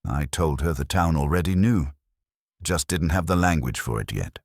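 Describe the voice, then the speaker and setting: Calm, candid village woman as read by a male narrator, low-pitched, plain-spoken dialogue for an audio mystery. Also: moderate pace, husky, no echo, studio quality, heavily acted.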